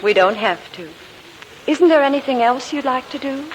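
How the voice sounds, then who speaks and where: An actress playing an affectionate, gently teasing sweetheart, earnest and sweet, in 1940s radio comedy style. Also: female voice, sits high, animated, moderate pace. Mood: tender, pleading. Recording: noisy old 1940s radio recording.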